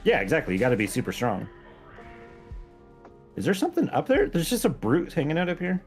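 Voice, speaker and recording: Male game modder, mid-pitched and very animated, playful casual stream banter, soft music in the background. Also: fairly quick. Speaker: game mod creator, guest on stream. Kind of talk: casual chat while playing a game. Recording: music behind the voice.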